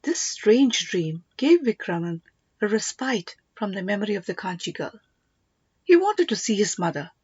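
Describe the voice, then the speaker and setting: A woman's voice: mid-range voice, big pitch swings, normal speed, a little mellow, breathy edge, no room sound. Woman narrating a story, dry dull recording. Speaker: audiobook narrator.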